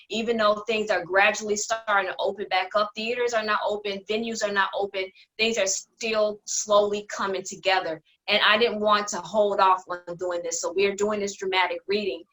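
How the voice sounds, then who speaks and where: A woman with a bright, crisp-edged voice hosts a casual group discussion. She sits at a middling pitch and speaks conversationally, as a producer addressing her panel over a video call from home. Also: low-quality recording. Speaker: host and theater producer. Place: home studio.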